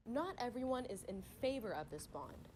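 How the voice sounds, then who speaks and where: A woman's voice: a little high, sing-song, normal speed, darkish tone, a little hiss or hum. A woman reading a scripted student news report, emphatic as she reads out figures.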